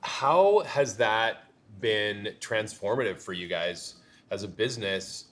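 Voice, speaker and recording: A man's voice: on the low side, lively intonation, slow pace, fairly bright tone. A man hosting an interview, casual and chatty, mostly giving short affirming replies. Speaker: interviewer, host. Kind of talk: casual chat.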